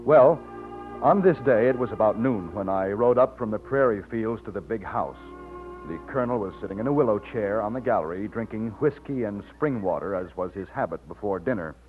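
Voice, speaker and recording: Guy as loyal young texan aide in an old radio play, lowish voice, narrow tinny recording. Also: lively intonation, fairly quick, soft background music, natural voice. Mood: reminiscing. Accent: American. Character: loyal, plainspoken young Texan aide recounting his past. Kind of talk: old-time radio drama narration and dialogue.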